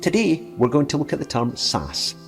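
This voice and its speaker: Animated man narrating over music. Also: on the high side, normal speed, gravelly. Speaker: explainer video presenter. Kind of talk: scripted explainer narration. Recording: video playback with music.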